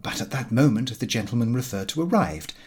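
A man reading a comic first-person diary aloud in an expressive, fussy storytelling manner. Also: medium pitch, fairly quick, husky. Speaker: audiobook narrator.

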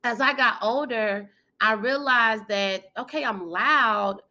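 A woman in her thirties or forties, guest in an interview, talking energetically and emphatically with a loud, powerful voice and frequent laughter. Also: a little high, takes it slowly, dull audio. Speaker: guest, PR firm founder and speaker.

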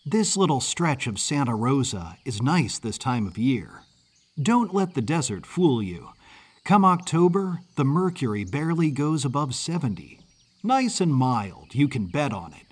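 A man's voice: mid-range voice, big pitch swings, a little slow, slightly rough. A man voicing an exuberant orphan circus boy in an audiobook story, talking in an eager, folksy way with a mid-Atlantic American accent. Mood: breezy, confident.

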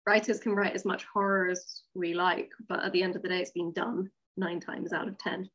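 A woman speaking as an interview guest, a writer telling stories in a casual, wry and animated way. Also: lowish voice, moderate pace, dull audio. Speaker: guest, audio drama writer.

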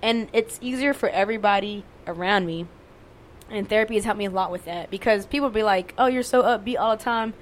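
A woman's voice: medium pitch, brisk, slight background noise. Candid young woman, casual swearing. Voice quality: monotone voice. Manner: candid, frequent swearing and fillers. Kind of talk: casual conversation.

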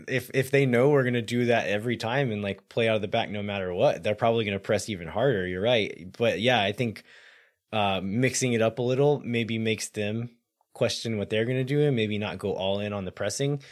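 This is a man whose voice has gone raspy and hoarse from yelling at a game. He talks low and casually, working through tactical points with plenty of fillers and restarts. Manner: analytical, thinks aloud with fillers. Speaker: podcast co-host. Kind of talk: casual sports podcast chat.

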